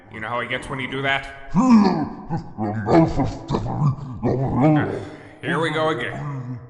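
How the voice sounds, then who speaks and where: A man's voice: on the high side, a bit bright. Man playing a fussy, echoey exasperated villain. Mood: flustered. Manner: melodramatic, fussy scolding mixed with deflated sighing. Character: exasperated male villain, fretting like a disappointed parent. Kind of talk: comedic audio drama acting. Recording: echoey hall reverb, faint noise.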